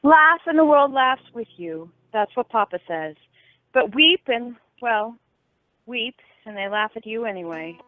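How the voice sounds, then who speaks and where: A woman's voice: on the high side, expressive, measured pace, dry recording. An actress playing a prim, mournful young lady in a radio play, formal and melodramatic in manner. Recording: tinny phone line with music behind.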